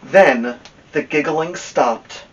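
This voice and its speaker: A man reading a children's novel aloud as an expressive storyteller, with dramatic pauses and drawn-out emphasis on key words. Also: a little high. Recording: dull lo-fi sound, distant from mic.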